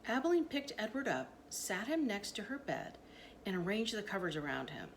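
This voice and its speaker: Animated woman reading a storybook, noisy recording. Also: mid-range voice, moderate pace. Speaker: storytime reader. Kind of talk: expressive children's book narration. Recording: noticeable background noise.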